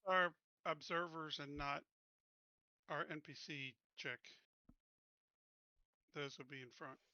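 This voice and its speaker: A man's voice: on the high side, steady tone, slow pace, pushed and bright, dry recording, muffled recording. A man chatting casually and bluntly as a player in a tabletop roleplaying game.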